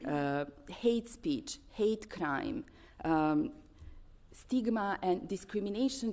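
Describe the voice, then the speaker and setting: A woman with a Serbian accent and a low-pitched voice delivers a formal speech as an advocate at a conference. She speaks earnestly and haltingly, stressing the items in her lists with care. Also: warm tone.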